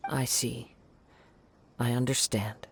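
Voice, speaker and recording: An actor voicing a courteous, earnest investigator in formal video game dialogue. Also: male voice, mid-range voice, flat delivery, takes it slowly, vocal fry, a little hiss or hum. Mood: polite, earnest. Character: polite, reassuring, earnest investigator.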